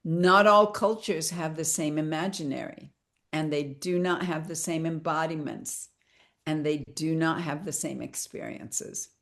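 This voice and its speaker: A woman hosting a reflective conversation, speaking slowly and choosing her words with care. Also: low-pitched, gravelly, fairly bright tone. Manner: slow, thoughtful, careful with words. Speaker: host.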